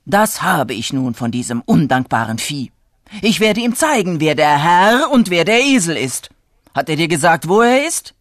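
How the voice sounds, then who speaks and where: A storyteller plays a blustering married farmer from a folk tale. He is gullible and quick to anger, scolding and calling out with a very animated melody. The sound is close and dry. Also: mid-range voice, no room sound. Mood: indignant. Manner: loud, exclamatory, scolding.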